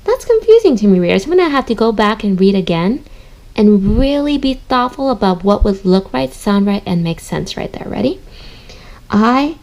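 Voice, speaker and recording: A woman leads a children's reading lesson as a teacher. Her mid-pitched voice has noticeable vocal fry. She speaks straight to her young listeners and reasons out loud as she instructs. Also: very animated, brisk, low-quality recording. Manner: instructive, addresses listeners directly, thinks aloud.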